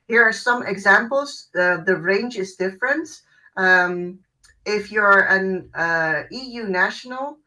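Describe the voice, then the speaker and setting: A woman's voice: fairly low pitch, bright, forceful tone, low-quality recording. A woman working as a university recruiter, giving an informal presentation in an online webinar. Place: online video call.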